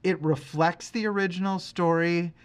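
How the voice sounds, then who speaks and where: Effusive man with a slightly higher voice. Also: steady tone, moderate pace, clear, resonant tone. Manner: effusive, emphatic, repeats phrases. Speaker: podcast co-host. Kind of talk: casual film discussion.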